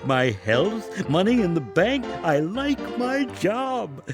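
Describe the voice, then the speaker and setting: A man's voice: high voice, sing-song, takes it slowly, music in the background, exaggerated voice. An actor in a radio drama playing a deferential male household servant. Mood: giddy amusement. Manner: deferential, servile.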